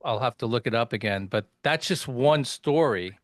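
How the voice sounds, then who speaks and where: A man's voice: mid-range voice, animated, normal speed, dry recording. Hesitant male podcast host, dry sound. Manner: hesitant, many "um" and restarts. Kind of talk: interview questions, casual.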